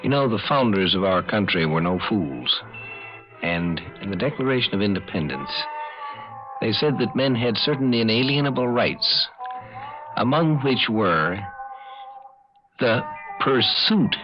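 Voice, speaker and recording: A low-voiced man delivers thoughtful, scripted commentary as a radio host. He speaks in a measured way over music, on a dull 1950s recording.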